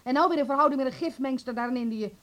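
A woman's voice: sits high, very fast, a bit bright. A woman acting a bitter, domineering, scornful middle-aged mother in a Dutch radio drama, indignant and reproachful.

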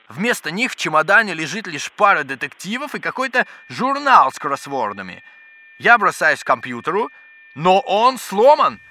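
An actor playing an excitable, pedantic scientist in a children's educational audio drama, with theatrical, exclamatory delivery. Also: male voice, high-pitched, dry recording, exaggerated voice. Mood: flustered, indignant. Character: excitable, bookish male scientist, absorbed in microbes. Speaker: scientist character.

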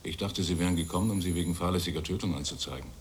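A man's voice: sits low, rapid-fire, a little hiss or hum, close to an everyday voice. An actor playing a brusque, formal male official in a German radio drama. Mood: brusque, impatient. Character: brusque male official closing a police case.

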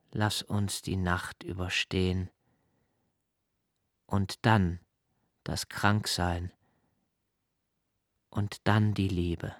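An actor playing a brooding, introspective young male diarist, narrating a literary radio play in a slow, hushed, measured way. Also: fairly low pitch, no echo, clean studio sound. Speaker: first-person narrator.

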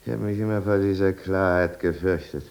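An actor playing a middle-aged drunken husband in a 1950s German radio drama, rambling and sardonic, sliding between self-pity and false jauntiness. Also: male voice, sits low, steady tone, darkish tone. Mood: bitter, mocking. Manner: rambling, sardonic, with drawn-out musing. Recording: gravel-free but aged 1950s radio recording.

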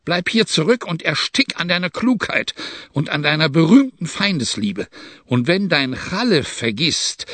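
A man voicing a furious, contemptuous desert warrior in an audiobook, shouting scornfully and giving commands. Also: fairly high pitch, no room sound, pitched above the performer's own voice. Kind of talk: dramatized audiobook character.

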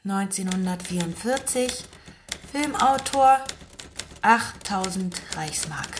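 Businesslike wife with typewriter behind. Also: female voice, medium pitch, animated, takes it slowly, slight background noise. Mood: official, deliberate. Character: businesslike wife filling in an official income questionnaire. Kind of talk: German radio drama acting.

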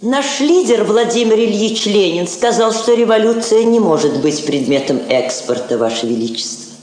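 A woman's voice: medium pitch, animated, darkish tone, lightly acted. An actress playing a dignified, self-possessed woman ambassador in a classic radio drama, formal and courteous. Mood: poised, diplomatic. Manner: formal, measured, courteous, poised. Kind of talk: classic Russian stage radio drama acting. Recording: very echoey old theatre hall recording.

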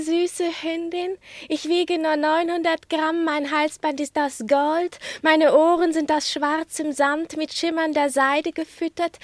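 Very high pitch, slow delivery, tiny flirtatious lapdog, affected, self-admiring, radio play. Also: female voice, dry recording. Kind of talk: German radio play acting.

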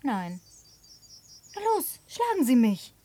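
A woman's voice: fairly high pitch, wildly swooping pitch, takes it slowly, dark tone, heavily acted. A woman acting in a German radio play as a headstrong, challenging companion who is direct and probing. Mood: defiant. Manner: direct, probing, formally addressing her companion. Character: headstrong, challenging woman sharing a home with a drifter.

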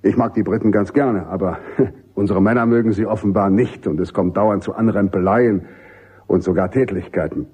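Army general, male, middle-aged: mellow, slightly rough voice, jovial authority, emphatic radio-play delivery. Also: lowish voice, moderate pace. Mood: firm, insistent. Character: commanding American army general, genial but insistent. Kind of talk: German radio drama acting.

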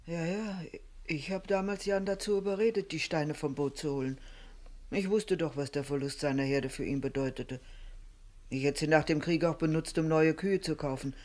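Ältere Bäuerin von einer Frau gespielt. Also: female voice, low voice, moderate pace, darkish tone, natural voice. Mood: earnest, confessing. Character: loyal, remorseful farmer's wife, older woman. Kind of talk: radio crime drama acting.

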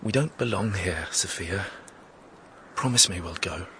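A man's voice: low-pitched, unhurried, bright, forceful tone, a little hiss or hum. An actor playing a self-assured former diplomat in a radio drama, direct and prickly in his questioning. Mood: pleading.

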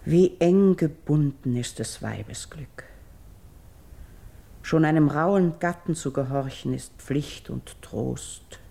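A noble exiled priestess from a classical radio drama, mourning with solemn devotion. Her voice is dark and mellow with a heavy creak. She declaims her verse very slowly, sometimes sinking into a hush. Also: low-pitched, full character voice. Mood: lamenting, resigned. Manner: solemn, declamatory, measured, at times hushed. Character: grieving exiled royal priestess, noble and devout.

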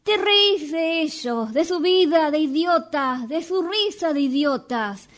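A woman's voice: high-pitched, lively intonation, takes it slowly. A woman acting in radio theatre as a frantic, pleading young woman with a Rioplatense Argentine accent, insistent and repeating herself. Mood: accusing. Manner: insistent, repetitive, urging. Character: frantic, pleading young woman dragging her friend into trouble. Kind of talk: radio theatre workshop fiction.

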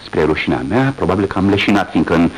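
A man's voice: deep voice, natural voice, low-quality recording. An actor playing a flustered, shaken male journalist who stammers, in a 1980s radio drama.

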